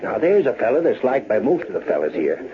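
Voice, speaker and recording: An actor playing a gossipy, shrewd frontier saloon fellow with a rustic American drawl, wry and talkative, in old radio-western style. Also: male voice, medium pitch, very animated, fairly quick, lightly acted. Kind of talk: 1930s-40s radio western acting. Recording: muffled, hissy old-time radio recording.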